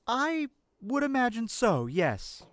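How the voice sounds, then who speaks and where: Woman as earnest fantasy traveller, animated intonation. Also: fairly high pitch, slow, dry recording. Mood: concerned. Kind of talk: fantasy audio drama acting.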